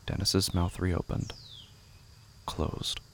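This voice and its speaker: A man's voice: sits low, level tone, drawn-out words, gravelly, a bit bright, slight background noise. A man reading scripted literary fiction as a narrator, with insects heard behind him.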